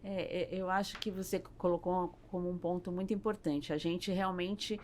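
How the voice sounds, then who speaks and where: A woman with a Brazilian accent answering as a podcast guest in a conversational, halting way, with repeats and tag questions. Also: on the low side, steady tone, darkish tone, a little hiss or hum. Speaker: podcast guest, salon business owner.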